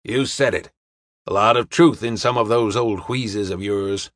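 A man playing a hearty, self-satisfied American police officer in an audiobook, brisk and slangy. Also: fairly low pitch, no room sound, clean studio sound, natural voice. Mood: hearty, smug.

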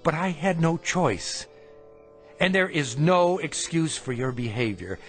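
A feverish, indignant traveler. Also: male voice, fairly high pitch, expressive, unhurried. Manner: probing, indignant, sharp questions. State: weak with fever. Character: ill, defiant male traveler confronting monks. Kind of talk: radio drama acting. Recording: radio play with music behind.

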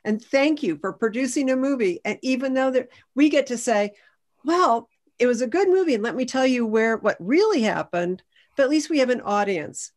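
A woman's voice: on the high side, lively intonation, moderate pace. A middle-aged American woman, a historian explaining things in a panel discussion on a video call. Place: indoors at home, video call.

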